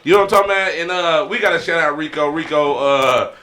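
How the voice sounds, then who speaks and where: A man hosting a casual hip-hop talk podcast, animated and slangy, often repeating catchphrases. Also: fairly high pitch, fast. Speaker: podcast host.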